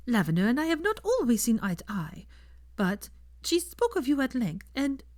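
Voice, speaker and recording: A woman narrator acts out an earnest young married woman weighed down by guilt. The voice is high and very animated, pleading in formal, halting phrases, in a dry storybook reading. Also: no room sound. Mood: earnest, nervous. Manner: halting, formal phrasing without contractions, breaks off mid-sentence.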